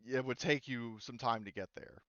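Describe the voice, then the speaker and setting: A man's voice: medium pitch, steady tone, moderate pace, fairly bright tone, no room sound, muffled recording. A man acting as game master, casually explaining and narrating a tabletop game in a hesitant way.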